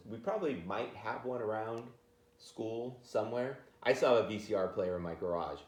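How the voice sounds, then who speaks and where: A man reading a children's picture book aloud in an informal, jokey, expressive way, with chatty asides. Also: fairly low pitch, roomy sound.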